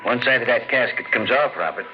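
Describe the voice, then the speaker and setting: A man's voice: mid-range voice, a little fast, close to an everyday voice. A man playing a defiant, vengeful survivor facing down a murderer in a 1940s radio thriller. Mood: grim accusing. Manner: resolute, dramatic. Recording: noisy, muffled 1940s radio recording.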